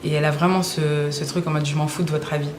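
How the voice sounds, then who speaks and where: A woman's voice: low-pitched, fast talker. Young woman chatting loose and slangy, lots of uhs, roomy echo, bit of hiss. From Belgium, Brussels. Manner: relaxed, slangy, with frequent hesitations. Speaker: singer interviewed about her music. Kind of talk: casual interview answers.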